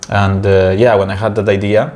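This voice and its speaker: A man's voice: fairly low pitch, level tone, normal speed, darkish tone. A young man from Peru with a Spanish accent, co-hosting a casual podcast chat and talking with many fillers and restarts. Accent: Peruvian Spanish. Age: young adult. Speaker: podcast co-host.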